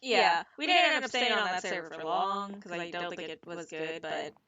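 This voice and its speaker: A woman's voice: fairly low pitch, animated, moderate pace, sharp tone, lo-fi sound. A woman in her twenties chatting casually with a friend while playing a game, rambling with many fillers. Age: 20s. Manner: rambling, heavy use of fillers. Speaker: streamer.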